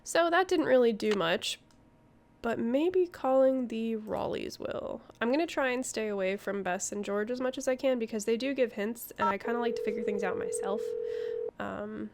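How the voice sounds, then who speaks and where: A woman's voice: fairly high pitch, normal speed, a little mellow, a little hiss or hum. A woman giving casual playthrough commentary, chatty and thinking aloud as she hosts. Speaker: gaming video host. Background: game music.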